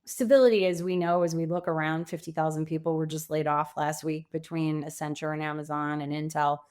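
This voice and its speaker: A woman in her fifties speaks as an interview guest. Her voice sits a little low, and she answers in a candid, reflective, conversational way. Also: fairly even intonation, brisk, darkish tone, clean tone. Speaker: podcast guest, career coach.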